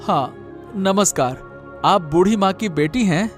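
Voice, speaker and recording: Man acting high-pitched pleading young villager. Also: animated, unhurried, faint music behind. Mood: humble, respectful. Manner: pleading, repeats himself. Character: desperate young male villager, wrongly banished, pleading. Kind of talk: Hindi cartoon moral-story acting.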